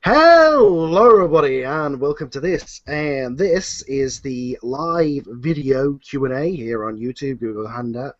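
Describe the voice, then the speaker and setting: A man's voice: mid-range voice, expressive, measured pace, few pauses. A man hosting a live online Q and A in a casual, unscripted and slightly flustered, rambling way, over a video call. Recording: muffled lo-fi internet video call.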